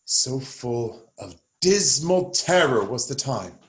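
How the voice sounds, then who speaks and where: Casting: man to play anguished Shakespearean nobleman, slow, highly varied pitch, roomy livestream sound. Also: expressive. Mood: haunted, weary. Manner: dramatic, anguished. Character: Clarence, troubled nobleman haunted by fearful dreams. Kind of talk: classical Shakespeare stage acting.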